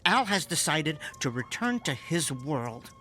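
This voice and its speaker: A man's voice: on the high side, big pitch swings, a little slow, bright, forceful tone, heavily acted. A man acting in a radio drama as an earnest, henpecked husband and amateur scientist, loyal and defiant, speaking emphatically. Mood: indignant.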